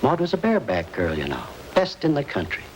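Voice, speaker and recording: A man's voice: mid-range voice, wildly swooping pitch, a little fast, rough recording. Folksy old circus guy, rambly and insistent, voice all over the place, hissy old radio. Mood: rambling. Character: eccentric older male ex-circus hand. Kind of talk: 1950s radio drama acting. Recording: old radio broadcast with hiss.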